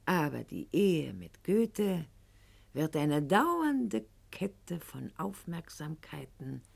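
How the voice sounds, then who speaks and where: A woman's voice: lowish voice, creaky, darkish tone, slightly rough, heavily acted. An actress playing a proud, calculating eighteenth-century noblewoman in a radio drama monologue, with formal, haughty and eloquent diction addressed to her husband. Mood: cool resolve.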